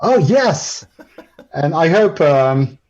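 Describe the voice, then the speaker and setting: A man whose first language is French walks through a tutorial on a video call. His voice is mellow and soft-edged, and the audio sounds muffled. He speaks haltingly, with frequent ums and restarts. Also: on the high side, big pitch swings. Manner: hesitant, many ums and restarts. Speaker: guest presenter. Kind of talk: live screen-share tutorial.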